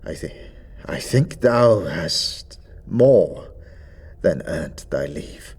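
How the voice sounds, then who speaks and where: A man's voice: low-pitched, animated, extremely slow, a little mellow, slightly rough, voice pushed down, exaggerated voice. A man voicing a stern, righteous undead priest who speaks with solemn, imperious, archaic formality in a fantasy audio drama. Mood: grave, weary.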